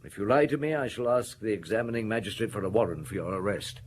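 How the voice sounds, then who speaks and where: A man playing a weary, guilt-ridden, methodical middle-aged police chief in a radio drama, with a husky, measured delivery. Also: fairly low pitch, slight background noise, close to an everyday voice. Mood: stern, probing. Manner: measured, reflective.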